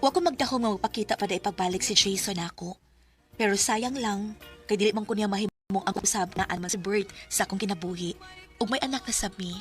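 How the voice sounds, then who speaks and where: A woman's voice: medium pitch, brisk, faint music behind, lightly acted. A Cebuano Filipino woman playing a tender, remorseful young expectant mother in a radio drama. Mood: reflective, subdued. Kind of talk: Visayan radio drama acting and narration.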